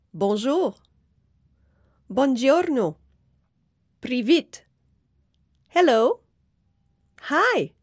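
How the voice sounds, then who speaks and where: Slow, careful woman reading to kids. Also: sits high, no echo, studio quality. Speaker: reader of a children's book. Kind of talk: slow, clear read-aloud for young learners.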